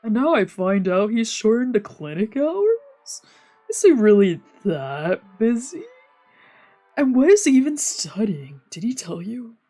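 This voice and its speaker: A woman voicing a fussy, excitable, sweet-toothed young man in a visual novel, with expressive, scolding and gushing delivery. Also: on the high side, a little slow, soft background music. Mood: concerned. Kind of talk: live dubbing of a visual novel.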